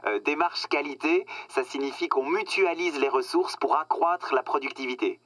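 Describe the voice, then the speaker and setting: A man's voice: a little high, normal speed, a bit bright, dry recording. An actor playing a smug, patronizing corporate marketing man who talks in glib business jargon in a radio satire. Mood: smug, lecturing. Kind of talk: satirical radio comedy dialogue, phone-call scene.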